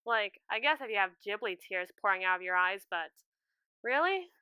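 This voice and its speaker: A woman's voice: mid-range voice, moderate pace, clean tone, no room sound. A woman playing a lovestruck, anxious schoolgirl in an audio roleplay, chattering expressively and dramatically to a friend. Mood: sheepish, teasing. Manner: expressive, rambling, theatrical teenage chatter. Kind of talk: ASMR roleplay, one-sided conversation with a friend.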